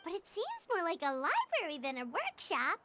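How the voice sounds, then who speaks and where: A woman voicing a tiny, childlike, chirpy fairy companion in a video game, with a squeaky, exaggerated delivery. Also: huge pitch swings, moderate pace, heavy background noise, exaggerated voice. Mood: curious. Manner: talks about herself in third person, exaggerated. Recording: loud music behind the voice.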